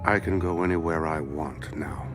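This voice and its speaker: A deep, taunting cartoon villain. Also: male voice, moderate pace, over music. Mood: sneering. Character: sneering male villain, menacing and mocking. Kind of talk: animated series voice acting.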